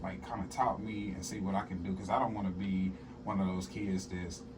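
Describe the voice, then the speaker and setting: A man's voice: sits low, fairly even intonation, a little fast, far from the mic, noisy background. A young man from southern Arkansas, a comedian and musician, chatting casually as an interview guest and telling stories with a laugh. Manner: laid-back, laughing, storytelling.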